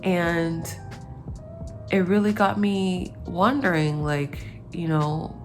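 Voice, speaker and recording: Low-voiced congested woman, slow and reflective. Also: faint music behind. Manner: reflective, thinking out loud with many ums. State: congested, getting over a cold. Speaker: vlogger, parent. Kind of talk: casual talk to camera.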